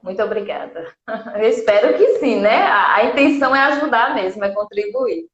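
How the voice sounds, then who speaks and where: A woman's voice: a little high, normal speed, a bit bright, lo-fi sound. Woman hosting a live stream, echoey room. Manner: welcoming and encouraging.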